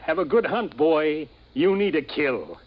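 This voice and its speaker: An actor playing a genial, unhurried man of seventy-three in a 1950s radio drama, avuncular and a little hesitant. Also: on the high side, animated. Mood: encouraging. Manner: avuncular, hesitant, repeats himself. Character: genial seventy-three-year-old boss, retired hunter, encouraging and unhurried. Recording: dull vintage radio recording.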